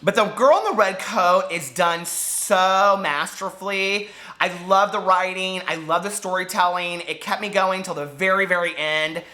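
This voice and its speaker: A man chatting casually to camera as a book vlogger, gushing and animated, wandering off on tangents with lots of filler words. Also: high-pitched, moderate pace. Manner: gushing, digressive, peppered with fillers.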